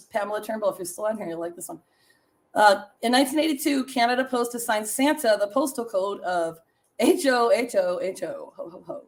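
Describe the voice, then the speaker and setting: A middle-aged woman with a raspy voice hosting a casual live stream, chatting with viewers and reading trivia and short stories aloud. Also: on the high side. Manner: chatty, laughs often, reads facts and stories to viewers.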